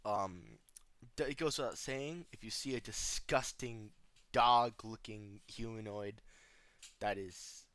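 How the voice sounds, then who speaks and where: A man's voice: fairly low pitch, slow pace, a bit bright, no echo. A man giving casual, joking video commentary in a sarcastic, flippant, slangy way, full of offhand asides. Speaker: video host reacting to analog horror.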